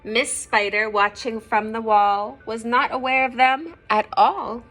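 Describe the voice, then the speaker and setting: Slow-paced woman reading a storybook to children. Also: on the high side, faint music behind. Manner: expressive, simple explanations and questions for children. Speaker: teacher reading aloud to children. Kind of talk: storybook read-aloud with asides to young listeners.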